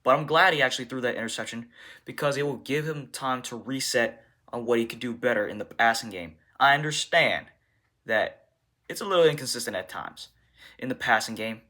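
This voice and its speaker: A man speaking as a football coach at a press conference, answering questions in deliberate, halting short bursts. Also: mid-range voice, sharp tone.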